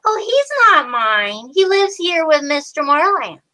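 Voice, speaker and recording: Radio play small boy, played by a woman: friendly, childlike, bright and high-pitched. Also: big pitch swings, measured pace, heavily acted. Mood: innocent, friendly. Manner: childlike, simple short answers. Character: innocent small boy, friendly and plain-spoken. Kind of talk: old-time radio play acting.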